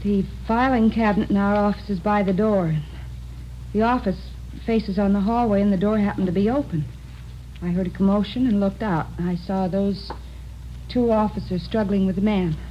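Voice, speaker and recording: An actress playing an earnest, precise stenographer giving formal, matter-of-fact testimony in a 1950s radio drama. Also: female voice, medium pitch, fairly even intonation, moderate pace, close to an everyday voice. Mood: composed, formal. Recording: muffled, noisy old radio recording.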